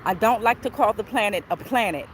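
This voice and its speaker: A woman's voice: mid-range voice, a little fast. Woman livestreaming casually outdoors, some street noise. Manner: informal, repeats phrases, checks in with okay and all right. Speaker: livestream host and spiritual tarot reader. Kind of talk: casual livestream chat to followers. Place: outdoors on a walk near traffic.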